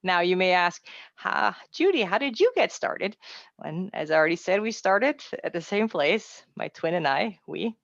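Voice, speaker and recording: Upbeat woman with an Austrian accent and a gravelly edge. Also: fairly low pitch, lively intonation, moderate pace, no echo, dull audio. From Austria, raised in Mexico City. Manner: upbeat, chatty, self-deprecating asides. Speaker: translator giving a webinar talk. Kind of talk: encouraging presentation.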